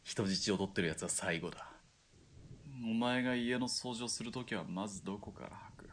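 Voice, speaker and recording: Man voicing a army spotter, low voice, crisp commands, rough joking talk. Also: normal speed, fairly bright tone, slight background noise, lightly acted. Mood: steady. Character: steady male army spotter, calm commanding partner. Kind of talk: radio drama acting.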